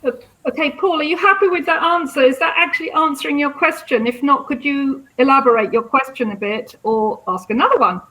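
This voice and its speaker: Lady hosting a live q&a, quite high voice, kinda hesitant and winging it. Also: a little fast, bright, forceful tone, poor audio. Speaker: session moderator. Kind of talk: live question-and-answer moderating.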